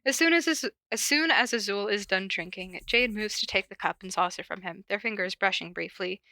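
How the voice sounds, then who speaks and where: Woman, informal podcast reader and host. Bright, forward voice, dry close sound, giggly, slips easily between story and asides. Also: mid-range voice, brisk, dry recording. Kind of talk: casual cold reading of fan fiction and chat. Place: corner of a basement at home.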